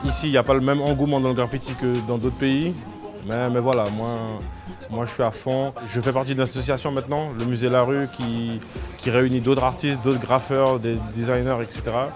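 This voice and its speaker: A man from French Guiana speaking casually as an interviewed graffiti artist, in a halting way with repeated words. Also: mid-range voice, little pitch movement. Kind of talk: casual spoken interview about own work. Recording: loud music under the voice.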